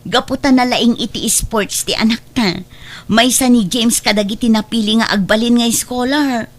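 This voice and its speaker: A woman's voice: on the high side, moderate pace, natural voice. A woman with an Ilocano accent playing an earnest, persuasive character in a family drama. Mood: pleading, persuasive. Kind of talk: Ilocano radio-style family drama acting.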